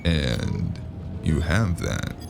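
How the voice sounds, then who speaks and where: A man playing a weary, gruff detective in a noir audio drama, speaking in a dry, terse, gravelly way. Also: rumbling low, sing-song, drawn-out words, over music, heavily acted. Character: weary gruff male noir detective narrating his cyberpunk case. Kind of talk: hard-boiled noir audio drama narration and dialogue.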